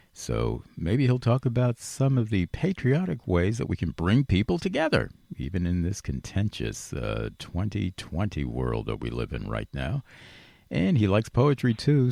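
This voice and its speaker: A man's voice: sits low, creaky, darkish tone, dry recording. An American man hosting a promotional radio interview, with a folksy, salesman-like way of talking. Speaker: radio show host.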